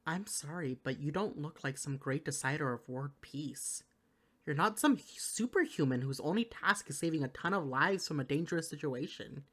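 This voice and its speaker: A woman's voice: sits low, fairly bright tone. A woman playing a worried, exasperated partner in an intimate audio roleplay, speaking to the listener with earnest, caring reproach. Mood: quiet, hurt. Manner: earnest, pleading, caring scolding.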